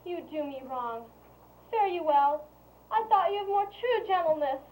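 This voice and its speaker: A woman's voice: very high voice, lively intonation, measured pace, a little mellow, poor audio. A woman playing a lovesick, pleading young woman in Shakespearean verse, earnest and plaintive. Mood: hurt, indignant. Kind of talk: Shakespearean verse stage acting. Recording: faint background noise.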